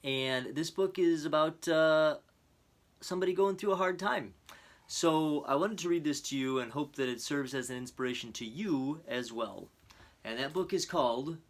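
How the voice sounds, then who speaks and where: Guy doing kids storytime, normal pitch, earnest and expressive, measured phrasing. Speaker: storybook reader. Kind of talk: expressive children's story narration and introduction.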